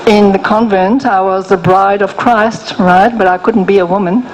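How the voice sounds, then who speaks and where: Soft-voiced older woman, faint background hum. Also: medium pitch, fairly even intonation, poor audio. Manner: gentle, frank, halting with restarts. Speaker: guest author. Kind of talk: interview, personal story.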